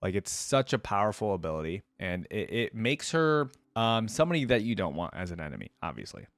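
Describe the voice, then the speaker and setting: A man's voice: lowish voice, very animated, brisk, no echo, studio quality. A man hosting a reaction video, giving casual, chatty commentary on a video game and thinking aloud in an easygoing way.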